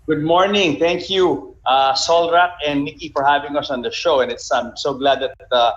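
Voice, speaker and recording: Male sports official as interview guest, fairly high voice, muffled lo-fi audio. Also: steady tone, moderate pace, fairly bright tone, echoey room. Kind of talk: conversational interview, explaining. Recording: dull lo-fi online call.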